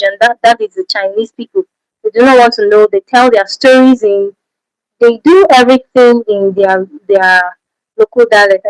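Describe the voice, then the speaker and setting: A woman's voice: a little high, no room sound, rough recording. A Nigerian woman whose first language is Efik, hosting a livestream Q&A and giving advice. She repeats her key points and keeps checking in with rhetorical questions. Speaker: channel host.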